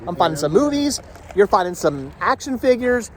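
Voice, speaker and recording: Upbeat man vlogging outdoors, higher-pitched voice. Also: sing-song, moderate pace. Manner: upbeat, chatty, joking. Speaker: vlog host. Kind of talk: casual walk-around vlog commentary. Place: outdoor flea market on a cold day. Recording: faint outdoor background noise.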